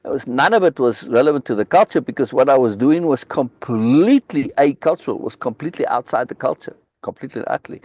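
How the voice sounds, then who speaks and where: A man's voice: mid-range voice, expressive, fast, hardly any pauses, darkish tone, dry recording. A man from Africa, hosting a live book reading discussion on a video call, speaking emphatically and insistently and repeating key words for force. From South Africa.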